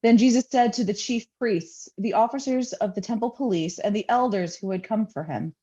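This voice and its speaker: A woman reads scripture as a pastor would, in a solemn liturgical style with measured, deliberate phrasing. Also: fairly high pitch, dark tone, slightly rough, lo-fi sound.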